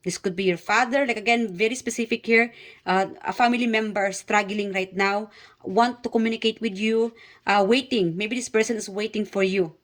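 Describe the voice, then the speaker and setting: A woman's voice: medium pitch, fairly even intonation, moderate pace. Filipino woman giving a tarot reading. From Philippines. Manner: hesitant, many fillers and repeats, speaks directly to viewers. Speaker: tarot reader. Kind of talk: casual tarot reading to camera.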